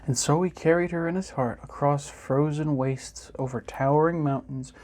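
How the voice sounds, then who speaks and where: A man reading a children's picture book aloud in an expressive storytelling manner. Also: a little high, normal speed. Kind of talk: children's story time narration.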